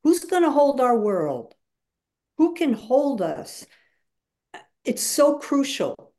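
A woman's voice: high-pitched, sing-song, slow, a little mellow. A woman speaking as a guest facilitator in a webinar, explaining emphatically and acting out examples as she goes.

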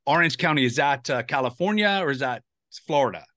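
Male podcast host, bright voice, dry sound, loose and rambling. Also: mid-range voice, lively intonation, fairly quick, no room sound. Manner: rambling, restarts often, chuckles. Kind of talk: casual interview chat.

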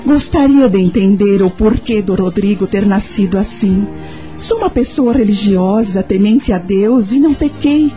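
A woman's voice: medium pitch, normal speed, mellow, faint music behind, natural voice. An actress with a Brazilian accent playing a devout, earnest mother searching for answers in a radio soap opera. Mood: troubled. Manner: earnest, questioning. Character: devout, troubled young mother seeking answers.

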